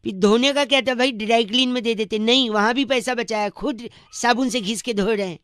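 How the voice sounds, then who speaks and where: High bright voice, man playing pestering comic prank caller, argumentative, radio comedy. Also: fairly quick, no room sound, studio quality. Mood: insistent. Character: Bauaa, cheeky, pestering, argumentative male prankster. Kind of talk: comic prank phone call.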